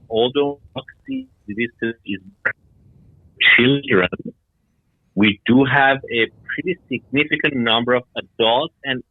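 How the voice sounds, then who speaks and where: A man's voice: lowish voice, no echo. A middle-aged man from Iran and Denmark giving explanatory answers as an interview guest. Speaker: guest, startup founder. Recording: tinny phone-like line.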